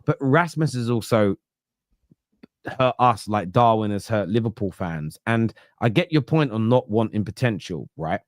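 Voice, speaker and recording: A man hosting a football podcast, casual chat that keeps correcting itself and counting stats out loud. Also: fairly low pitch, animated, dry recording, studio quality. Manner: rapid, self-correcting, counts stats aloud. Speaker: podcast host.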